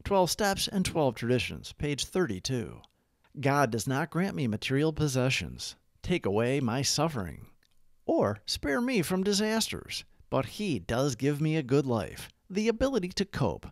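A man narrating a scripted daily recovery reflection as a devotional read-aloud, with measured, emphatic phrasing. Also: medium pitch, wildly swooping pitch, no room sound, clean studio sound.

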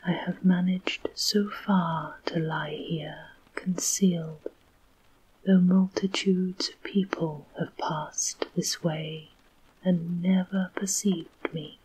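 A tragic old storybook dragon, weepy and sorry for himself, as a woman whispers him. He speaks in a husky, airy hush, dragging each phrase out slowly. The voice sits a little low. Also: steady tone, exaggerated voice, rough recording. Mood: pleading. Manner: whispered, slow and breathy.

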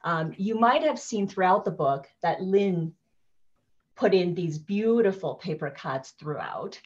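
A woman's voice: on the low side, lively intonation, a little slow. A woman author in her thirties chatting casually about her picture book over a video call, thoughtful, with many fillers. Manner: warm, thoughtful, many ums and you-knows. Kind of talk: casual live book talk. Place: living room with fireplace. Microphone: laptop webcam video call. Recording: dull lo-fi video call audio.

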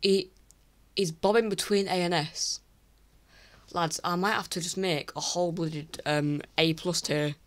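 A teenage boy from England ranking online creators in casual screen-recorded commentary, rambling and apologetic with many restarts, his voice croaky from recording late at night. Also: high-pitched, fairly even intonation, measured pace, a bit bright. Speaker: YouTuber. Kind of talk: casual tier list commentary.

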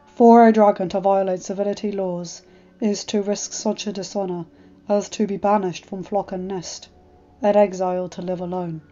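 A woman's voice: mid-range voice, steady tone, moderate pace, dark tone, muffled recording, soft background music, natural voice. A woman reading aloud and voicing a solemn, formal male dragon who speaks with grave deference. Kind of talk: fan fiction audiobook reading.

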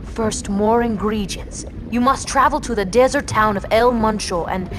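A woman playing a mysterious, stern and secretive young swamp girl in a cartoon video game, with measured, cryptic, formal phrasing. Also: fairly high pitch, slight background noise, lightly acted. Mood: instructing. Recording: background music under dialogue.

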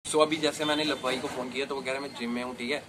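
A man's voice: medium pitch, steady tone, racing. A young man chatting casually as a vlogger, recorded outdoors on a camera mic. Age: young adult. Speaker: vlogger making prank calls. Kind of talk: casual chat. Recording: noisy amateur outdoor recording.

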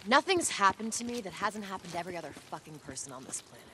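A woman's voice: mid-range voice, big pitch swings, fast, fairly bright tone, a little hiss or hum. An actress playing a tough, guarded woman survivor in a video game, with a direct manner. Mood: defensive, bitter. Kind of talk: video game cutscene acting.

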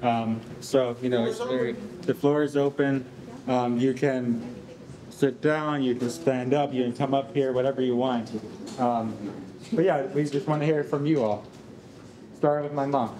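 A man's voice: medium pitch, fairly even intonation, moderate pace, crowd noise. A young man co-hosting casually in a hall, easygoing and a little hesitant as he addresses the audience. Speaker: event co-host. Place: indoor rehearsal hall. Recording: noisy crowded room, clicks.

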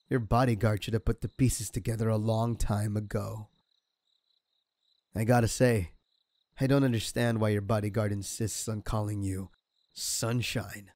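Sadistic male crime boss, played by a man for audio roleplay: lowish, unhurried, mocking, menacing, recorded close and dry. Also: dark tone, no room sound. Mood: smug taunting. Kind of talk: ASMR audio roleplay acting.

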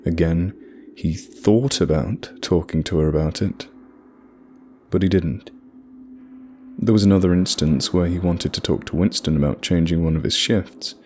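A man narrating a horror story in a measured, scripted storytelling delivery. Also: low voice, a little mellow, slight background noise.

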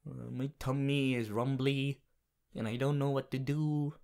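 A man voicing a goofy, melodramatic teenage anime boy in a fan audio roleplay, whiny and drawn-out, talking to the listener. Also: mid-range voice, fairly even intonation, vocal fry, a little mellow. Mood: melodramatic despair.

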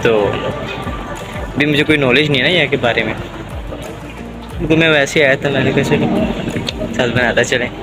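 A young man walks and talks straight to his viewers, chatty and excited, his voice sitting at an ordinary middle pitch. He holds a phone at arm's length outdoors in a busy plaza, with music playing clearly behind him. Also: normal speed, long pauses. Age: young adult. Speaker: travel vlogger. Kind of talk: casual walking tour vlog. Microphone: handheld phone at arm's length.

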